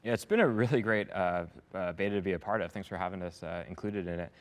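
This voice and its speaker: Very low-voiced guy talking quick, corporate exec in a business interview, polished and upbeat. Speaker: head of sales, success and support at a software company, interviewed as a customer.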